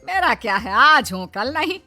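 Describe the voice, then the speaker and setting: A woman's voice: high voice, very animated, moderate pace, sharp tone, faint music behind, full character voice. A woman voicing a fretful middle-aged mother-in-law in a cartoon story.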